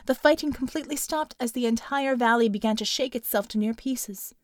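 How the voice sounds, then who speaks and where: Female audiobook narrator, fairly high voice, dramatic scripted storytelling, very dry studio-like sound. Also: a little fast, no echo.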